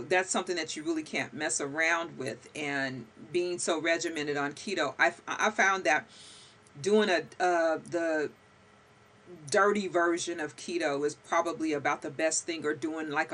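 Bright-voiced woman hosting a video call. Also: sits low, a little hiss or hum. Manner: enthusiastic, emphatic, many fillers. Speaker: podcast host. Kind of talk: conversational interview. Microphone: video call webcam.

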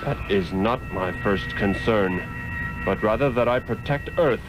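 A man's voice: lowish voice, a little slow, close to an everyday voice. A man playing a defiant heroic sorcerer in a 1960s radio drama, firm, earnest and formal. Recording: noisy old radio drama recording.

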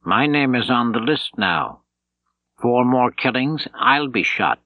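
A man's voice: mid-range voice, lively intonation, takes it slowly, no room sound, pitched above the performer's own voice. A man voicing a frightened, doubting villager in an audiobook, tense and challenging. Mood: fearful, challenging. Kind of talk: audiobook character dialogue. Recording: dull, slightly lo-fi tape recording.

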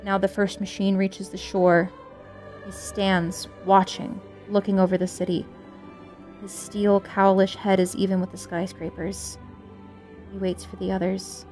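A woman's voice: mid-range voice, flat delivery, measured pace, darkish tone, soft background music, natural voice. Woman acting a doomed radio announcer, urgent dread. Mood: grim dread. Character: doomed female radio announcer reporting an invasion live. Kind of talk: live radio news report acting.

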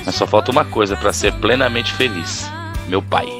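A man's voice: lowish voice, normal speed, music in the background, lightly acted. A man playing an anguished young rich heir in an audio drama, confiding intensely about a father he fears. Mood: yearning.

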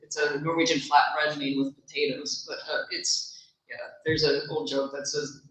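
A woman's voice: sits low, level tone, moderate pace, fairly bright tone. A woman in her thirties from Wisconsin, giving a chatty public talk in a library meeting room and answering audience questions with easy laughs. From Wisconsin, USA. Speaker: guest speaker at a library lunch talk. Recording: roomy echo of a meeting hall.

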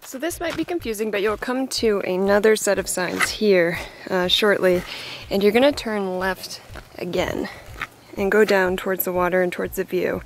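A woman's voice: medium pitch, a little hiss or hum. A young woman reporter chatting casually as she hikes a trail, giving offhand tips to the viewer. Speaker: newspaper reporter. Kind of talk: casual hiking vlog. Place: outdoors on a forest trail, some lines in a car.

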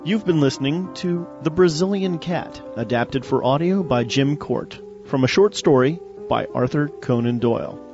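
A man's voice: a little high, animated, normal speed, few pauses. A man reading scripted closing credits as an audio drama announcer. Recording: muffled audio with music bed.

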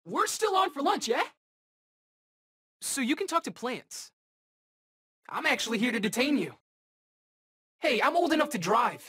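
An actor playing a cocky, eager young rookie superhero in a video game, bantering in casual, wisecracking slang. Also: male voice, very high-pitched, big pitch swings, measured pace, pauses often, pushed and bright, husky, exaggerated voice. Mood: cocky, teasing. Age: young adult.

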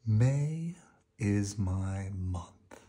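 A man voicing a gentle, friendly female talking tree in a storybook, with earnest, drawn-out speech. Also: on the low side, expressive. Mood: contented.

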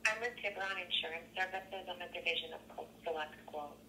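A woman's voice: fairly low pitch, fast, sharp tone. A woman working as a call centre agent, polite and businesslike, heard through a telephone speaker. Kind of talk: scripted customer service phone talk. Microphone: heard through a phone's speaker. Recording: phone call on speaker, faint noise.

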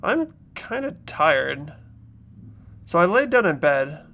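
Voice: medium pitch, very animated, slow pace, vocal fry, sharp tone, exaggerated voice. A performer playing an earnest, easily startled teenage schoolboy who narrates and speaks in a visual novel dub. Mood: subdued narration. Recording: background game music.

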